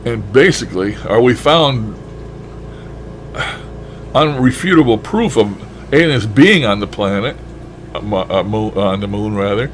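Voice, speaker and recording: A man's voice: lowish voice, lively intonation, unhurried, pauses often, a little hiss or hum. A man talking in a casual, rambling monologue with a folksy, blunt and mildly profane manner. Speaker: shortwave radio hobbyist vlogger.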